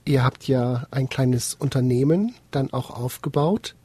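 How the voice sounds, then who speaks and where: A man's voice: mid-range voice, unhurried, dark tone, husky, no echo. A man hosting a studio interview, asking his guests questions in a friendly, direct way. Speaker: interview host.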